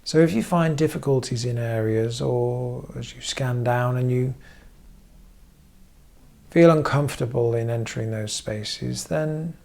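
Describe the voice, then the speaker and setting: Male meditation guide, very creaky mid-pitched voice, unhurried gentle instruction with frequent pauses. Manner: measured, pausing often between short phrases. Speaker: therapist leading guided meditation.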